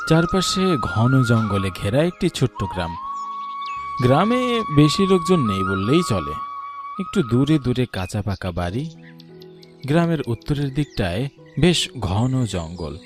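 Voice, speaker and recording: A man's voice: mid-range voice, huge pitch swings, measured pace, long pauses. A man narrating a scripted, dramatic spooky story, with music underneath.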